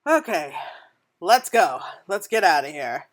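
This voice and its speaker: A woman's voice: fairly low pitch, big pitch swings, measured pace. A woman casually chatting and tossing out playful asides as she plays through a game. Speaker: gameplay streamer. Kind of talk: casual reactions while playing a game. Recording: game music behind the voice. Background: game music.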